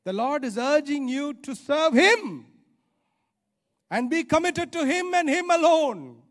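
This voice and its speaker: A middle-aged man with an Indian accent preaching a sermon emphatically, repeating phrases for stress. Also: extremely high pitch. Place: large church auditorium stage. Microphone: handheld microphone. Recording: reverberant hall sound.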